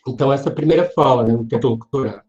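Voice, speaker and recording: A man with a Brazilian accent giving an informal online literature lecture in a hesitant, halting manner, often checking for agreement. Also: medium pitch, a little mellow. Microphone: online video call. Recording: dull, lo-fi online class audio.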